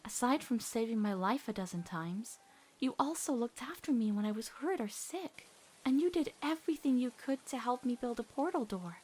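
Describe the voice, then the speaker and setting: A woman voicing an earnest, awkward teenage girl in an emotional cartoon comic dub, heartfelt and halting when nervous. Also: fairly high pitch, lively intonation, a little mellow, slightly rough. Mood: tender, sincere.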